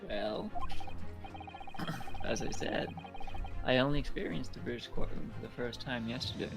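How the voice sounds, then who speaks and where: A man's voice: medium pitch, moderate pace, vocal fry, over music, close to an everyday voice. A man acting an earnest young student lawyer in a video game fan dub, hesitant yet resolute.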